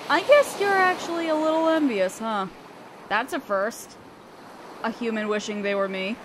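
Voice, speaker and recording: A woman's voice: fairly high pitch, normal speed, lightly acted. A woman playing a guarded young female selkie in a roleplay audio drama, aloof and hesitant yet curious as she talks to the listener. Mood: softening, sympathetic. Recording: ocean waves and wind behind voice. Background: surf, waves and wind sound effects.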